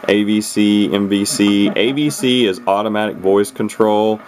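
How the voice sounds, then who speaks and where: A man's voice: lowish voice, steady tone, moderate pace, darkish tone. A man giving a casual, unscripted hobbyist walk-through of equipment in a rambling, matter-of-fact way. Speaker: radio hobbyist presenting a receiver. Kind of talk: casual unscripted demonstration talk.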